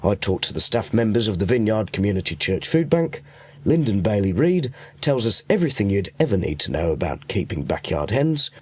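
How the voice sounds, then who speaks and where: A man's voice: lowish voice, slightly rough, dry recording. A man working as a radio reporter, reading a scripted narration. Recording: music under the voice. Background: guitar music.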